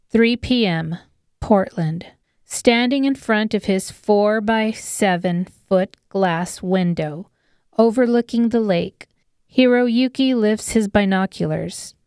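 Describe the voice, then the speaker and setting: A woman narrates an audiobook story at a slow, deliberate pace. Her mid-pitched voice is smooth and clear, recorded very dry. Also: darkish tone, dry recording. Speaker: author and narrator.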